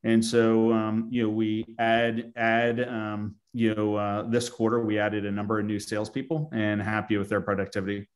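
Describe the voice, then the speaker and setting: A man's voice: lowish voice, flat delivery, moderate pace, hardly any pauses. A man speaking as a company executive, giving answers on an earnings call.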